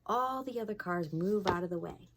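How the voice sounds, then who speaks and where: A woman's voice: medium pitch, normal speed, a little hiss or hum. A woman fire inspector reading a storybook aloud to children, expressively, with casual asides and questions to her young listeners.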